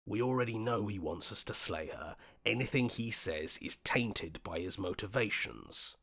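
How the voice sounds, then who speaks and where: A man voicing a level-headed, questioning hero in a video game, speaking earnestly in short deliberate lines. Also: on the low side, expressive, vocal fry, pushed and bright, husky, full character voice. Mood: wary, reasoning.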